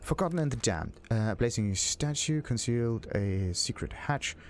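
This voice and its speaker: A man giving casual game commentary as a let's play host, thinking aloud in a halting, puzzled way. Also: mid-range voice, animated, slight background noise. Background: game music.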